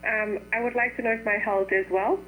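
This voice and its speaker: A woman's voice: fairly high pitch, fairly even intonation, fairly quick, fairly bright tone. A woman of about forty, calling a show for advice and giving short, plain answers. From Trinidad. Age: 40s. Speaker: caller seeking advice. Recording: phone call with electrical hum.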